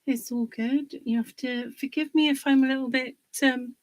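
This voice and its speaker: A woman, slightly hoarse from a cold, chatting casually on a livestream while she works on a craft project, pausing with fillers. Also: sits high, steady tone, background noise. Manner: chatty, rambling, pauses with fillers while working.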